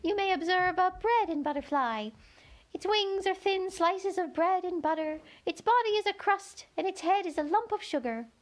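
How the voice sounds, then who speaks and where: Woman voicing a tiny, squeaky-high, airy storybook gnat. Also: lively intonation, moderate pace. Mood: airy, informative. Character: tiny talking gnat, careless, melancholy, fond of puns. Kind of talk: children's storybook read-aloud.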